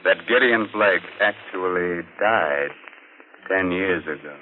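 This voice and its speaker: Through a hissy, narrow vintage radio recording comes a man caught up in a murder mystery. His fairly low voice tells the story with grave, dramatic suspense. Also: slow. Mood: puzzled. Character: shaken male narrator of a murder mystery. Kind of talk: dramatic old radio narration.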